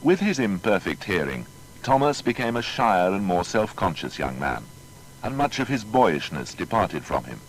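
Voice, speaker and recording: A man narrating a scripted dramatised biography in a formal, measured storytelling manner. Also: low-pitched, very animated, low-quality recording.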